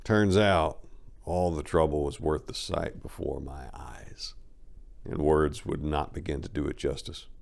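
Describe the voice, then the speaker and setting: A low-pitched male narrator delivers a first-person story as a dramatic reading. He leans hard on some phrases and lets others fall quieter, in the manner of an audiobook performance. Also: steady tone, unhurried, vocal fry.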